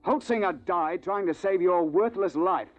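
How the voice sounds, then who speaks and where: An actor playing a seasoned big-game hunting guide who also narrates, in a 1950s radio drama, clipped and dryly ironic. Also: male voice, sits high, moderate pace, natural voice. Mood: contemptuous. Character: seasoned male time-travel big-game hunting guide, dry and unflappable. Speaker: narrator and lead character. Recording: dull old radio recording with music.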